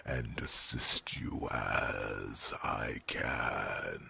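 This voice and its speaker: A man playing a huge, gentle old dragon mentor in an ASMR fantasy roleplay, speaking in a slow, gravelly, breathy rumble with thoughtful hums. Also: steady tone, exaggerated voice. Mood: solemn guidance.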